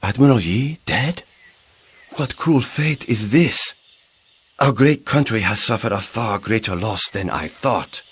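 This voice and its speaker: A man's voice: lowish voice, expressive, unhurried, slight background noise. A man playing a grave, dignified government minister in a video game cutscene, speaking formally and earnestly. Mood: grieving. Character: grave, dignified Korean prime minister, statesman.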